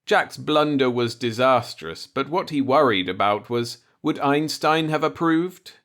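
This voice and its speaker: A man reading a short story aloud as an audiobook narrator, in a measured storytelling manner. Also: medium pitch, a bit bright. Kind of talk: scripted narration, read-along short story.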